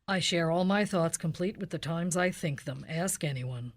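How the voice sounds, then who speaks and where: A woman playing a deadpan, hard-boiled police detective in a radio cop-show parody, speaking in a clipped, officious way. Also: on the low side, moderate pace.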